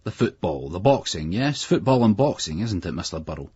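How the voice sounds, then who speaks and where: A pompous, sneering Scottish schoolmaster barks clipped orders at a pitch a little above a husky, dry voice, as a man reading a novel aloud puts him on. The recording is slightly muffled and close, with no room sound. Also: sing-song, brisk, a little mellow, no room sound, exaggerated voice. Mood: stern, sneering. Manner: stern, clipped, mocking. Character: strict pompous male schoolteacher, middle-aged.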